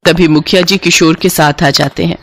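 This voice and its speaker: A woman's voice: on the low side, steady tone, normal speed, slight background noise. A woman narrating a scripted children's moral story.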